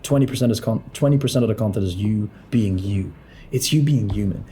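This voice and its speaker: A man talking through a screen-share tutorial as a business coach in a lowered late-night voice, blunt and pushy, swearing freely. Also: a little fast, mellow, slight background noise.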